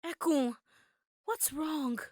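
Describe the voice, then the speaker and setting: A woman voicing a brave, caring young girl heroine in an animated adventure, earnest and urgent. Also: high voice, drawn-out words, dry recording, clean studio sound. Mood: worried. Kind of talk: animated series table read.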